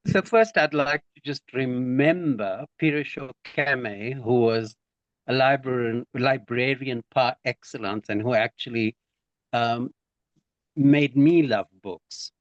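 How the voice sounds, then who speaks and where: A man's voice: mid-range voice, no room sound. A man joining a conference discussion over a video call, talking informally and a little flustered as he starts. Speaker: online conference participant. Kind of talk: casual remarks in a discussion. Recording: dull, lo-fi call audio.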